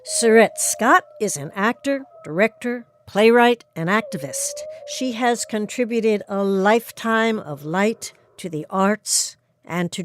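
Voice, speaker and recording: A woman's voice: mid-range voice, slight background noise. A woman reading a scripted introduction as the host of an audio play series. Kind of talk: scripted narration.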